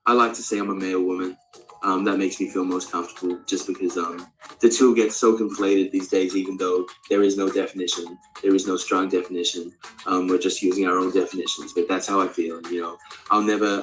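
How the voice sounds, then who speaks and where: A male speaker of around forty from Australia, practising an American accent in a rambling, halting, candid and sweary casual monologue. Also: sits low, flat delivery, fairly bright tone, faint music behind, poor audio. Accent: American, put on over native Australian. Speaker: actor introducing themself.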